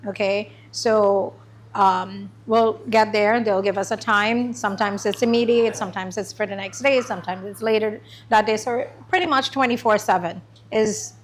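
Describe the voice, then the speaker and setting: A middle-aged woman from Trinidad and Tobago giving a chatty, informal talk about her business to a small group, with many pauses and ums. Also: medium pitch, steady tone, a little hiss or hum. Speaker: small business owner presenting. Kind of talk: informal pitch presentation. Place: meeting room.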